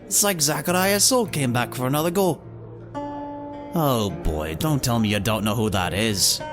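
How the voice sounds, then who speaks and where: A man's voice: medium pitch, lively intonation, normal speed, music in the background, natural voice. A man playing a jovial, chatty innkeeper in a fantasy audio drama, talking in a theatrical storytelling manner. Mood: amused, incredulous. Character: friendly, animated fantasy innkeeper, storytelling and joking.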